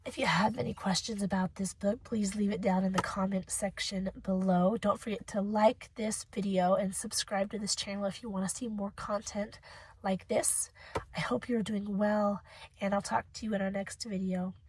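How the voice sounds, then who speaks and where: A young woman with a hoarse voice from a cold, rambling casually as she reviews a book for her channel. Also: mid-range voice, steady tone, normal speed, a little hiss or hum, low-quality recording. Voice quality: husky. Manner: chatty, rambling, emotional.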